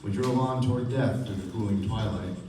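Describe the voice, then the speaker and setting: A man's voice: fairly low pitch, fairly quick, warm tone, lightly acted. A man playing a sober, reflective narrator in a radio play, recorded at a distance with strong echo and faint noise. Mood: somber. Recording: echoey, noisy stage recording from far off.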